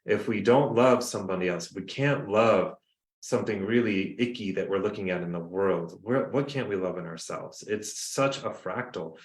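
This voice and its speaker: A man's voice: lowish voice, normal speed, roomy sound. A man with a New Jersey accent, talking earnestly as a podcast guest on a video call. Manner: animated, earnest, storytelling. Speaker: guest, coach. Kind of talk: casual podcast conversation.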